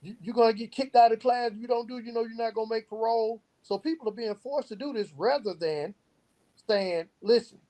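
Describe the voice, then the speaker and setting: A man's voice: very high-pitched, brisk, dark tone, rough recording. A man speaking as an interview guest, giving earnest, emphatic answers and illustrating his points with stories. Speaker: guest, youth program founder. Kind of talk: earnest interview talk with storytelling.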